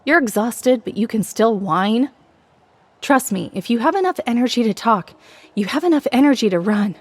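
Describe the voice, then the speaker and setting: A woman's voice: fairly high pitch, lively intonation, darkish tone, soft background music, voice pushed up. Woman acting a bossy, sarcastic young woman. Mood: scolding. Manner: sarcastic, brisk, commanding. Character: annoyed, bossy young female student, tough but helpful.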